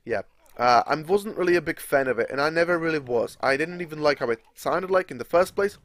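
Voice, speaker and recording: A man's voice: on the high side, lively intonation, a little fast, fairly bright tone, no echo. A young man from the Czech Republic with a Czech accent, rambling casually as he commentates a game, restarting sentences and swearing now and then. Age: young adult. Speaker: gaming commentator.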